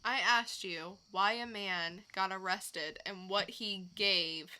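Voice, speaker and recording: A woman's voice: medium pitch, takes it slowly, sharp tone, clear, resonant tone. A woman co-hosting a podcast, recounting odd stories in casual chat with her partner. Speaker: podcast co-host.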